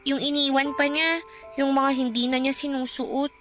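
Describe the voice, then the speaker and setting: An actress playing a young, hungry, innocent Filipino granddaughter in a Tagalog radio drama, anxious and plaintive. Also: female voice, high voice, flat delivery, moderate pace, a bit bright, faint music behind.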